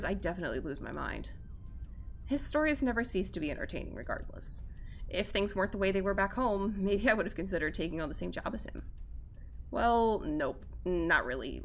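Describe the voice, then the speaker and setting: A woman's voice: fairly low pitch, slight background noise. A woman streamer reading a visual novel aloud with theatrical, casually sweary live commentary. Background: game music.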